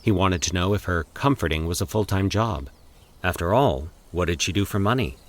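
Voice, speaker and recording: A man's voice: low voice, expressive, fairly quick. A man hosting a podcast and narrating a scripted horror short story.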